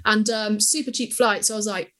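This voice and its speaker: A woman's voice: medium pitch, fairly even intonation, a little fast, fairly bright tone. A woman in her twenties, a podcast guest and travel creator, telling travel stories with enthusiasm and plenty of fillers. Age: 20s. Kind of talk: casual interview chat.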